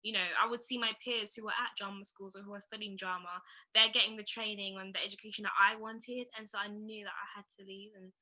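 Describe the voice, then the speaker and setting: A young woman with a London accent gives a casual, conversational account as an interview guest. Her voice is strikingly bright and crisp-edged, sitting at an average pitch. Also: fairly even intonation, fast, rough recording. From London, England. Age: 20s. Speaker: interview guest, drama graduate.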